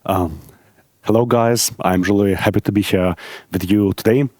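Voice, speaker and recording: A man from Ukraine in his thirties giving a conference talk on stage, speaking haltingly with many filler pauses and friendly asides to the audience. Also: fairly low pitch, steady tone, darkish tone, dry recording, studio quality, a faint crowd.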